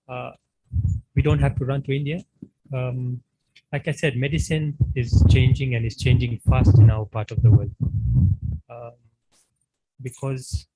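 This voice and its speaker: A man's voice: mid-range voice, mellow, low-quality recording. A man, a surgeon, giving a thoughtful panel answer at a health education day. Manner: thoughtful, many fillers and restarts. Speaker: doctor, surgeon.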